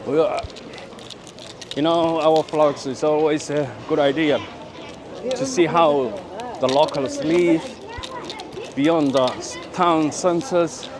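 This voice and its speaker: A man's voice: fairly high pitch, steady tone, slow, long pauses. Male travel vlogger from Birmingham, busy surroundings. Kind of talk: casual vlog chat and narration. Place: out and about in a city. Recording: busy street and cafe chatter behind.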